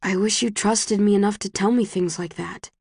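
A woman's voice: medium pitch, fairly even intonation, normal speed, a little mellow, breathy edge, dry recording, studio quality. Woman reading as reserved, wounded young man. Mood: gentle, apologetic.

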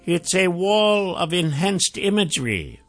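A man doing a fussy, high-voiced old wizard. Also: big pitch swings, a little slow, fairly bright tone, soft background music, full character voice. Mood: prim.

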